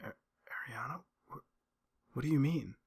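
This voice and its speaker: A man playing a frightened, halting mother on a phone call in a creepypasta drama. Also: medium pitch, gravelly, darkish tone, slightly rough, no room sound, studio quality, heavily acted. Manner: halting, stammering.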